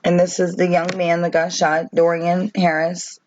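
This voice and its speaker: A woman with a voice worn down by allergies talks to her viewers in a halting way. She pauses often with ums, says "okay?" a lot and reads a news article aloud. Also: fairly low pitch, completely flat delivery, a little mellow, slight background noise. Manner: halting, many ums and restarts, tags with "okay?". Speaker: vlogger. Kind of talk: casual talk to camera, reading a news article aloud. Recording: dull, dry home recording.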